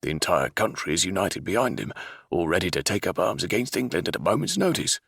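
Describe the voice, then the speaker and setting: A man voicing a gruff, wary older ship captain who growls his words. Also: deep voice, very animated, fast, a bit bright, dry recording, studio quality, heavily acted.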